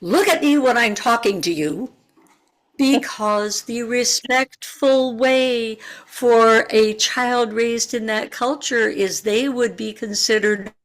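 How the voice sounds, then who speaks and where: A woman in her sixties, a retired teacher, asking questions in an online seminar over a video call, earnest and searching for words. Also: on the high side.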